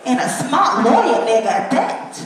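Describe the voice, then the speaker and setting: A woman on stage playing a gleefully cruel, bigoted judge, mocking and commanding in a drawn-out theatrical delivery. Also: high-pitched, big pitch swings. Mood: gloating, mocking. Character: gleefully cruel racist judge handing out harsh sentences. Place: large indoor stage venue. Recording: echoey theater, distant mic, hissy noise.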